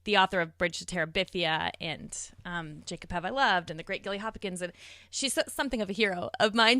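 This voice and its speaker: A woman's voice: mid-range voice, no echo, clean studio sound. A middle-aged woman speaking casually and chattily as a guest in a podcast conversation. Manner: animated, chatty, laughs often.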